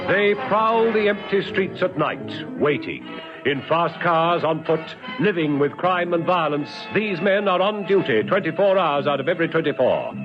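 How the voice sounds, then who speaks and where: A man's voice: fairly high pitch, expressive, moderate pace, natural voice. A man as a grave, dramatic announcer introducing an old police radio series. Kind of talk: 1970s radio drama signature narration. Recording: muffled old radio, music behind.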